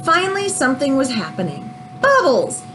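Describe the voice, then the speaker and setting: Animated woman reading aloud to children, high-pitched. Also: normal speed, a little hiss or hum. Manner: animated, explanatory, teacherly. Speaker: teacher reading a picture book aloud. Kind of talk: expressive children's read-aloud with asides.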